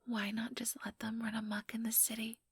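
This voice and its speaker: A woman playing an ambitious vampire elder in a whispered ASMR roleplay, breathy, deliberate and patronizing, with cool menace. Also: fairly high pitch, completely flat delivery, dry recording, studio quality. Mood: taunting, probing.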